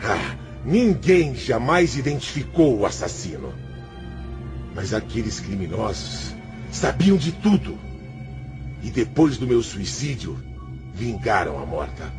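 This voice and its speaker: A man's voice: on the low side, very animated, slow. A man acting in a radio drama as a self-pitying, defiant male spirit, theatrical and complaining as he justifies himself. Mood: uneasy confession. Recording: music under the voice.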